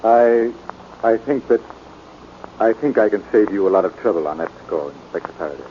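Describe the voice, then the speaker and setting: An actor playing a meek, anxious older American man with a hesitant, halting manner, in 1940s radio drama style. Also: on the low side, animated, natural voice. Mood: hesitant, confessing. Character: meek, anxious older stepfather making a false confession. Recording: muffled, noisy 1940s radio recording.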